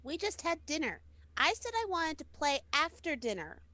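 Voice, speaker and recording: Casting: clingy little monster girl, creaky and bright, high, whiny, demanding, for radio play. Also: big pitch swings, normal speed, no room sound, heavily acted. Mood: petulant. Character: clingy, petulant young girl creature with eerie authority.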